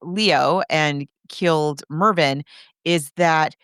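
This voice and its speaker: A woman with a fairly low, clear voice chats as a podcast co-host. She retells a film casually, like someone telling a story to a friend. The recording is close and dry. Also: slow, a bit bright, no echo. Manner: conversational storytelling, acting out characters' reactions. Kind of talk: casual film recap chat.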